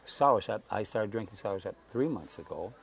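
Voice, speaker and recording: A man in his eighties talks slowly as a talk-show guest, his voice sitting somewhat low. He halts often and repeats words while he finds his thread. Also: expressive, vocal fry. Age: 80s. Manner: slow, halting, repeats words while searching. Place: Saipan.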